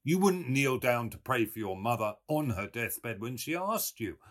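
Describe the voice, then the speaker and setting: A man voicing a brash young medical student who talks loudly and mockingly. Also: medium pitch, moderate pace, pitched above the performer's own voice. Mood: scornful. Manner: loud, excitable, mocking.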